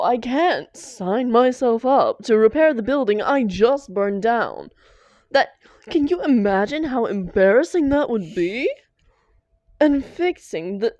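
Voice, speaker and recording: A woman's voice: on the high side, a little mellow, no echo. A woman playing a theatrical female supervillain and doting, grumbling lover in a scripted audio roleplay. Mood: pleading, indignant. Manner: theatrical, affectionate pet names, exasperated protests.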